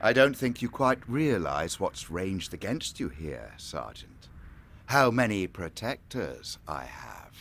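A man's voice: low voice, very animated, takes it slowly, gravelly, a bit bright, a little hiss or hum, heavily acted. A man playing a mocking, menacing pagan lord in an audio drama, with an urbane, theatrical, condescending manner. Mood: coolly menacing. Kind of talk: full-cast audio drama acting.

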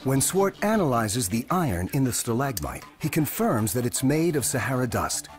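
A man reading scripted narration for a nature documentary in a measured, storytelling delivery. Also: medium pitch, big pitch swings. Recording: narration over loud music score.

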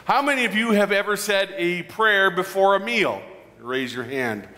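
A man in his forties preaching a conversational sermon as a pastor, animated and joking with his congregation. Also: sits high, moderate pace. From Midwestern United States. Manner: animated, gestures broadly, jokes with the congregation. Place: large church sanctuary. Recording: long church reverb.

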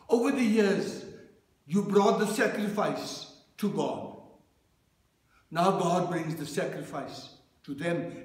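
A man leading a church service as minister, reading scripture and preaching in a measured cadence at a lectern. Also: high-pitched. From South Africa. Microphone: lectern microphone, far camera. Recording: very echoey church room.

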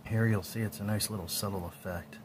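A man's voice: lowish voice, steady tone, fairly quick, background noise. A man in his forties casually narrating his bike build over clips, chatty with frequent ums. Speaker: cycling vlogger.

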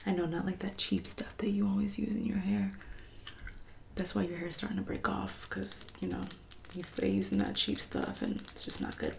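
A woman in her twenties doing an ASMR roleplay as a catty hairdresser friend, soft-spoken and often whispering, with condescending, passive-aggressive delivery. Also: mid-range voice, flat delivery, vocal fry. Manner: condescending, passive-aggressive, drawn-out backhanded remarks.

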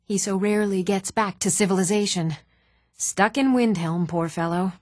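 A woman playing poised, articulate townswomen and noblewomen in a fantasy video game, with measured, formal delivery. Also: mid-range voice, fairly even intonation, darkish tone, no room sound, studio quality, close to an everyday voice. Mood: polite, composed. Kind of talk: scripted fantasy game dialogue.

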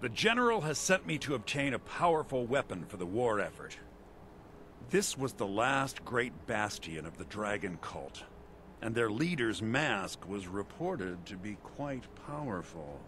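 A man's voice: lowish voice, very animated, measured pace, a bit bright, slight background noise. An actor playing an earnest, commanding Imperial army captain in a fantasy game, speaking formally as he explains his mission. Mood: grave, explaining. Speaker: game character. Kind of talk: scripted fantasy game dialogue.

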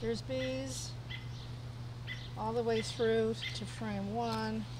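Calm woman explaining a beehive outdoors. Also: fairly high pitch, extremely slow. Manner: calm and explanatory, pointing out details. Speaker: beekeeper giving a hive inspection. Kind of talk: hands-on demonstration to camera. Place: outdoors beside beehives on a lawn. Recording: outdoor camera audio with clear ambient noise.

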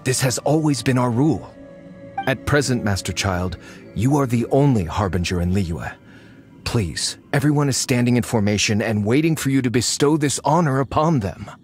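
A man's voice: mid-range voice, lively intonation, moderate pace, a little mellow, faint music behind, lightly acted. A man voicing a formal, apologetic masked soldier in a video game. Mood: deferential. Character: deferential masked male soldier.

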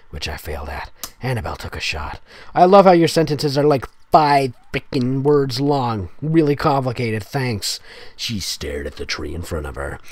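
A man's voice: extremely low pitch, slightly rough. A man reading fan fiction aloud in a dramatic style, with sarcastic, exasperated asides. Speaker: fanfic reader and commentator.

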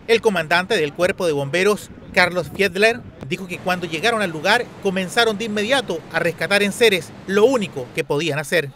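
A man narrating a news report in an even, measured read. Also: high voice, background noise.

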